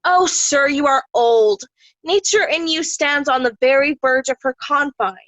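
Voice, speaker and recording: Woman acting a cool shakespearean princess, high voice, dry close sound, formal and scolding. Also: measured pace, a bit bright, no room sound, low-quality recording. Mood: reproving. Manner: formal, admonishing. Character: cool, reproving royal daughter of an old king. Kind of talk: Shakespearean reader's theatre acting.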